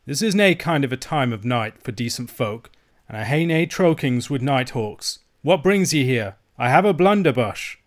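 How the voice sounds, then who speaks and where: A man's voice: medium pitch, lively intonation, normal speed, lightly acted. A man narrating a storybook voices a suspicious, miserly old Scottish uncle, frightened and grudging, with a wary quaver. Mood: wary, angry.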